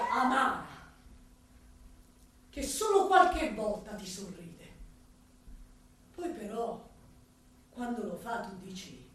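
An actress playing a bitter, driven noir writer haunted by death, in a dramatic radio monologue with halting pauses and sudden swells. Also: female voice, medium pitch, a little hiss or hum. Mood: bitter, tender. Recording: echoey, distant stage recording with music.

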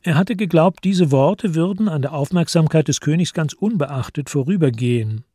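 A man reads literary narration for a radio drama. His voice sits a little high and is recorded close and dry, with sober, measured phrasing. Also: animated, dark tone, no room sound.

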